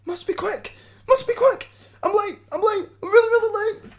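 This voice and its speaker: A woman's voice: very high-pitched, normal speed. A woman voicing a frantic, worried male rabbit in a radio drama, urging in short, repeated bursts. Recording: clearly noisy recording with clicks.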